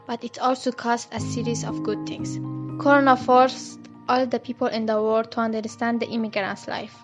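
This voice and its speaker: A woman's voice: a little high, little pitch movement, moderate pace. A young woman who is a refugee, sincerely telling how she lived through the lockdown. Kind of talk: personal testimony. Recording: dull lo-fi voice over music.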